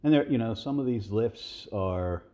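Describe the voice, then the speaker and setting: A man in his sixties giving relaxed, rambling voice-over commentary as a fitness video host, with many fillers and restarts. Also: fairly low pitch, unhurried. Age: 60s.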